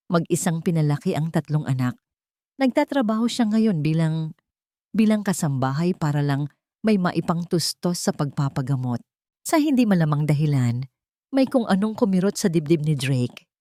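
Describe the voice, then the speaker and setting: Female storyteller for dramatic romance audio, lowish register, animated delivery, very dry recording. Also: normal speed, dry recording. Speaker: story narrator. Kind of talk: dramatic scripted romance narration.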